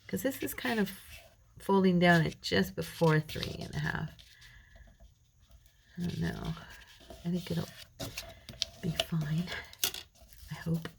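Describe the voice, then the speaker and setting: A woman's voice: on the low side, slow, creaky, pauses often, noisy background. A tired, middle-aged woman, chatting to her viewers and muttering to herself as she works through a craft tutorial. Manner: chatty, rambling, talks to viewers while working; mutters softly to herself while working. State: tired, gravelly voice. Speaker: craft channel host. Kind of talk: casual crafting tutorial chat.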